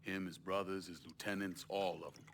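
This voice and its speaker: Man playing a deep-voiced, cold, vengeful crime boss. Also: flat delivery, moderate pace, background noise, natural voice. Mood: commanding. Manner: terse, menacing, matter-of-fact. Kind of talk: cinematic game dialogue. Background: guitar music.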